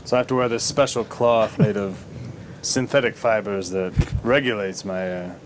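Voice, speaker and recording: A man's voice: on the low side, fairly even intonation, normal speed, very noisy, natural voice. A man voicing a self-important masked male imperial pilot in a game, with a mock-serious manner. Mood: pompous.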